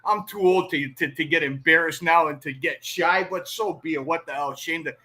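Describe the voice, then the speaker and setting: A man's voice: a little high, moderate pace, bright, forceful tone. A middle-aged man chatting casually as a sports show host, rambling like an enthusiastic fan and tagging his points with a questioning word. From Toronto, Canada. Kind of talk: casual sports interview chat.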